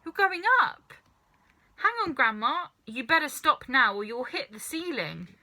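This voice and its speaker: A woman voicing an excitable, anxious young boy who exclaims and calls out in a children's storybook. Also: high voice, a little slow, voice pushed up, heavily acted. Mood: alarmed. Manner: exclaiming, calling out.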